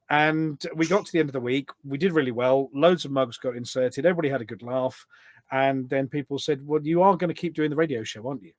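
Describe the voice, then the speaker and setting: A man's voice: medium pitch, dry recording. A man chatting casually as a podcast guest, telling anecdotes in a rambling, talkative way. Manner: chatty and rambling, acts out quoted remarks. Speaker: podcast guest and community radio show presenter.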